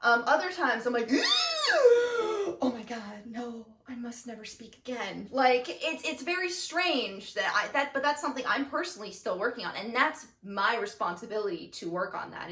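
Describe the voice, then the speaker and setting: A young woman co-hosting a casual chat, with a loud, carrying voice and an expressive, animated delivery. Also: on the high side. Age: young adult. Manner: expressive, animated, quick to laugh. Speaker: co-host, daughter. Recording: roomy, mic at a distance.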